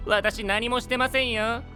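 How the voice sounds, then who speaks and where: An actor playing a sly, coolly polite young knight in an anime voice drama, speaking formally with a teasing, probing edge. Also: male voice, very high voice, bright, forceful tone, exaggerated voice. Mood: amused. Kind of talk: Japanese anime voice drama acting. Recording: long reverb, music behind.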